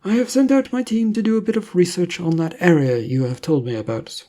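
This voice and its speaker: A narrator voicing a composed male secret agency boss in an adventure story, speaking politely and formally in measured phrases. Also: mid-range voice, dark tone, voice pushed up, natural voice. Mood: businesslike.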